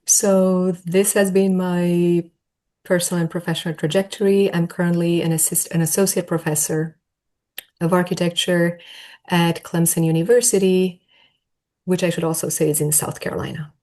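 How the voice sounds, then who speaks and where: A woman with a slightly low voice and a Romanian accent answers as an academic podcast guest. Her talk is conversational and reflective, often rephrasing itself. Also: level tone, normal speed, mellow. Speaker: podcast guest, architecture professor.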